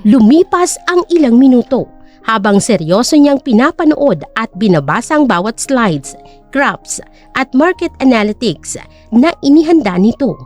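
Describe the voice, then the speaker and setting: Babaeng tagapagsalaysay ng audio drama. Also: female voice, on the high side, very animated, moderate pace, darkish tone, soft background music. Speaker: narrator. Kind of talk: scripted dramatic story narration.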